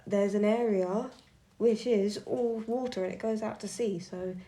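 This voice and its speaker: Gravelly, flat-voiced woman ranting. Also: mid-range voice, measured pace. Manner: blunt, sweary, ranting. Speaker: streamer and artist. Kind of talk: casual chat while drawing on stream.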